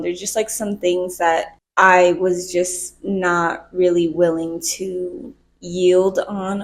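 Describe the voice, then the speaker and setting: Woman, young adult, from the US, casual vlog, candid chatty manner, lower pitch. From United States. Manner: candid, chatty, laughs easily. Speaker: vlogger.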